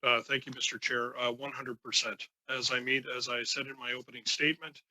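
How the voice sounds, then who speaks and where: A man giving formal testimony as a union leader, answering haltingly with many false starts. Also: medium pitch, little pitch movement, pushed and bright, no room sound, low-quality recording. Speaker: union president, committee witness.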